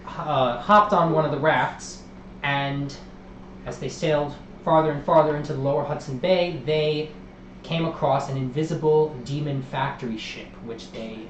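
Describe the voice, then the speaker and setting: A man hosting a live tabletop role-playing stream as game master, moving from an upbeat welcome into a steady recap of the story. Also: on the high side, normal speed, reverberant, a little hiss or hum, rough recording. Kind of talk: livestream tabletop game narration.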